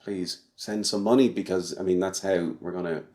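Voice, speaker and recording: A man in his forties answering interview questions in a measured way, pausing often with ums. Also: sits low, steady tone, warm tone. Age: 40s. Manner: slow, measured, hesitant with ums. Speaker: charity walker.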